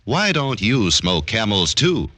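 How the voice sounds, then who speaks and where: A man reading a scripted mid-century radio commercial as an announcer, with crisp, deliberate delivery. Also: lowish voice, big pitch swings, loud music behind the voice.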